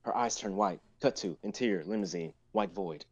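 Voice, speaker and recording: A man's voice: lowish voice, normal speed, vocal fry, breathy edge. A young man narrating stage directions in a live amateur script reading. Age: young adult.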